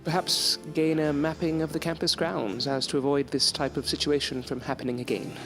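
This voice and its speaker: A man's voice: fairly high pitch, level tone, fairly quick, lightly acted. A man playing a polite, earnest young student in a gothic audio drama, speaking in formal, old-fashioned phrasing. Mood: bemused, courteous. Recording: music under the voice.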